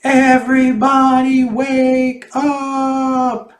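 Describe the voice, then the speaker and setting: A man's voice: extremely high pitch, completely flat delivery, pitched above the performer's own voice, heavily acted. A man reading a storybook, voicing a cute, bossy little girl who frets and hollers dramatically. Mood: bellowing, drawn-out. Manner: dramatic, drawn-out hollering and fretting. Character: cute little girl, fretful, bossy, loud waker of sleepers. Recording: roomy, echoey sound.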